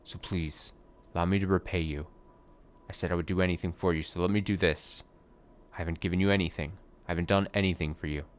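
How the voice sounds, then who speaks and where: A man's voice: low-pitched, fairly even intonation, quick pace, natural voice. A man voicing a tormented young knight, speaking in a solemn, tender way that sometimes turns shaky. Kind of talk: dramatic reading of a story.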